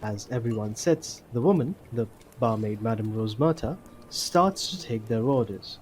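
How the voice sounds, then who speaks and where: Male narrator, medium pitch, scripted storytelling delivery, faint noise behind. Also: lively intonation, moderate pace, a little mellow, low-quality recording.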